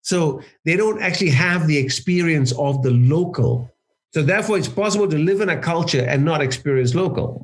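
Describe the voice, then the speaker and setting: Guy on a podcast explaining culture stuff, slightly high voice, keeps restarting and going "right?". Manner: thoughtful, restarts sentences, often adds "right?". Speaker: guest, cross-cultural consultant. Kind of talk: conversational interview answers.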